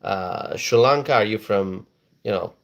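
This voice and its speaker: A man's voice: fairly low pitch, unhurried, vocal fry. A man from Bangladesh hosting a casual live stream, reading viewers' comments aloud and repeating phrases for emphasis.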